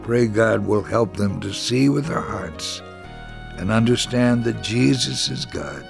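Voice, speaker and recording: A man reading a scripted first-person faith story for radio in a measured, solemn, dramatic storytelling manner. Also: on the low side, lively intonation, gravelly, music in the background.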